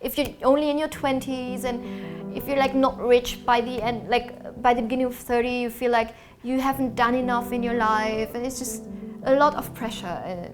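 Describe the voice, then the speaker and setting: A woman's voice: fairly high pitch, steady tone, moderate pace, over music. A woman from Germany, a panel guest, giving thoughtful, analytical opinions. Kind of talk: candid discussion.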